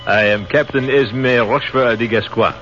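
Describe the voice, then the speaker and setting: An actor playing a courteous artillery captain in a 1930s radio drama, formal and measured. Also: male voice, mid-range voice, steady tone, a bit bright, soft background music, natural voice. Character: courteous French artillery captain, lonely traveller. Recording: dull old radio recording with faint hiss.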